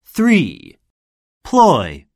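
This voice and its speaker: A woman reading a scripted textbook listening drill, saying words slowly and deliberately for learners to repeat. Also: lowish voice, huge pitch swings, a little mellow, no room sound, clean studio sound. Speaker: lesson narrator.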